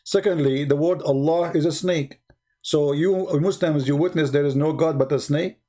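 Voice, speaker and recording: A man's voice: a little high, moderate pace, dark tone. A man hosting a heated call-in debate, mocking and pressing the same question again and again. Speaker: debate host.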